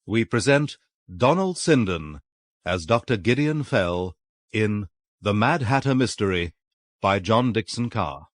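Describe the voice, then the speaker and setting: Male announcer introducing a radio play, formal scripted read, lowish voice, no room echo. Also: expressive, a little slow, studio quality.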